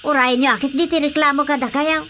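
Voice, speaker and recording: A woman's voice: high voice, steady tone, a little fast, sharp tone, a little hiss or hum. A woman playing a gossipy, complaining village woman in an Ilocano radio drama comedy. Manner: exclamatory, gossipy.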